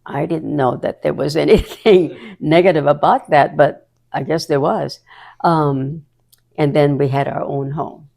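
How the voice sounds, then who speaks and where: Elderly woman in her nineties, Hawaiian accent, low gravelly voice, chatty storyteller. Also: steady tone, unhurried, warm tone. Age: 90s. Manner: chatty storyteller, laughs often. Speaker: interview guest. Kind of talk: reminiscing conversation.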